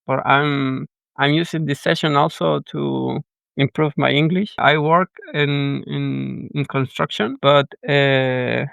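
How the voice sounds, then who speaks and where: Give me a Chilean man speaking careful, halting English with a clear, rasp-edged voice. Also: medium pitch, fairly even intonation, fairly bright tone, no room sound. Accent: Chilean Spanish. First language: Spanish. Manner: halting, repeats words while searching for English. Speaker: guest, architect and indie game developer. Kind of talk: casual podcast chat.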